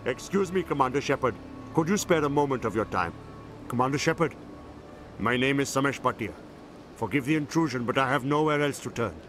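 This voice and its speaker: An actor playing a dignified, grieving widower in a video game, formal and courteous. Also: male voice, mid-range voice, expressive, a little fast, a bit bright, faint music behind, natural voice. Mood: deferential.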